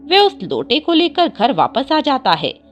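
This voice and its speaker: A woman's voice: sits high, wildly swooping pitch, unhurried, soft background music. A woman narrating a scripted devotional story as a storyteller.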